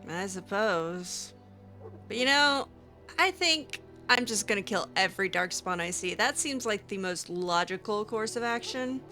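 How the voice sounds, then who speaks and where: A woman's voice: medium pitch, animated, measured pace, sharp tone, soft background music. A woman improvising casual in-character dialogue as she plays a role-playing game, chatty and hesitant with a lightly sardonic edge. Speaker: gamer role-playing her character aloud.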